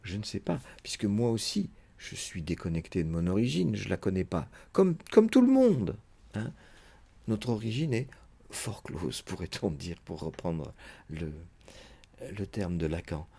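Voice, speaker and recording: Brief: male psychoanalyst, very low husky voice, muses aloud through a lecture with many pauses and fillers. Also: big pitch swings, warm tone. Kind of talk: unscripted spoken lecture.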